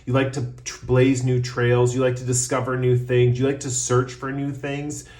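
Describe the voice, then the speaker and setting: Tarot reader, male, voice a bit worn from losing it, mid-range pitch, rambling unhurried delivery, echoey room. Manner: relaxed, rambling, frequent ums, slows to announce cards. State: losing his voice. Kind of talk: casual tarot reading to camera.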